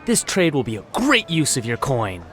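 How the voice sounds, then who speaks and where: Man acting an excitable swooping market hawker. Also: medium pitch, moderate pace, faint music behind, some chatter behind. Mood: excited pitch. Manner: hawking, exclaiming. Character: excitable fantasy market creature seller hawking wares. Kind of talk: scripted game character acting.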